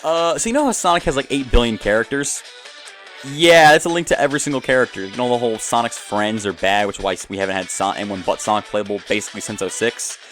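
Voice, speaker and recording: A man's voice: medium pitch, fast, fairly bright tone. A man giving casual, off-the-cuff commentary on a video game, rambling and jumping between asides as the host. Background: game music.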